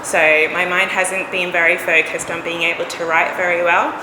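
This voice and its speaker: A hoarse woman preaches in a casual, conversational way, with an occasional cough. Her voice sits a little low, and it echoes around a roomy church hall with a steady air-conditioning hum behind it. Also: moderate pace. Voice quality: coughs now and then. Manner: relaxed, conversational, chatty asides. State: hoarse, has lost her voice. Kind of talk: casual sermon.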